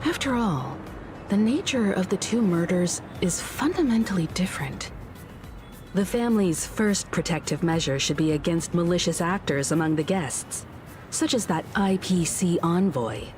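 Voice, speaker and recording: An actress playing a calm, analytical woman in a video game story, speaking in a measured, deliberate way. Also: fairly low pitch, lightly acted. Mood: measured, wary. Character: calm, analytical adult woman, cautious crew member. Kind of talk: scripted game story dialogue. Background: background music.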